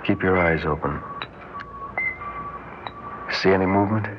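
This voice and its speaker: A commanding spaceship captain, low in pitch, brisk and wary. He gives orders with firm authority in an old science-fiction radio play. The recording is muffled, with heavy background noise.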